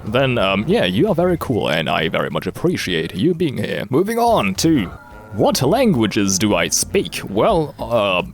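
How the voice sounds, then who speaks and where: A man's voice: lowish voice, wildly swooping pitch, measured pace, gravelly. A young man in his late teens from Germany, a native German speaker, giving a casual, rambling, foul-mouthed and self-deprecating Q&A commentary over gameplay. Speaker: gaming video creator.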